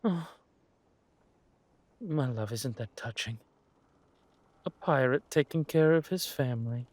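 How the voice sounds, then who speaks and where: A man playing a suave, ruthless villain lord in an audio drama, mock-polite and menacing, with a husky voice and drawn-out delivery. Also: medium pitch, lively intonation, a little mellow, no room sound. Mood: mocking menace.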